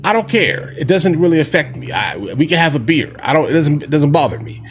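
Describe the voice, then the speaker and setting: A man's voice: on the high side, big pitch swings, brisk. A man giving casual, slangy political commentary as host of a reaction video, talking directly to his viewers. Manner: informal slangy talk, often addressing listeners as guys.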